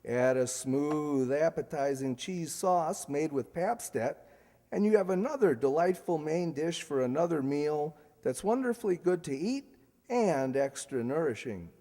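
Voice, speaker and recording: A man's voice: on the high side, lively intonation, unhurried, dark tone. Man acting a swooping, emphatic 1940s radio announcer. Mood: upbeat selling. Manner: emphatic, salesmanlike. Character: polished, enthusiastic 1940s radio show announcer and pitchman. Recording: echoey hall stage recording.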